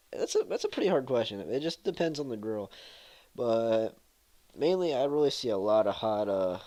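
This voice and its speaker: A man hosting a casual gaming Q&A vlog, nasal from allergies, answering viewer questions with joking, irreverent, offhand replies. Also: mid-range voice. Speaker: gaming channel host.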